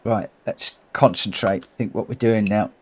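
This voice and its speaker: A man's voice: lowish voice, steady tone, unhurried, a little hiss or hum. A man with a cold and a runny nose streaming a racing game, thinking aloud in rambling commentary and correcting himself as he goes. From United Kingdom.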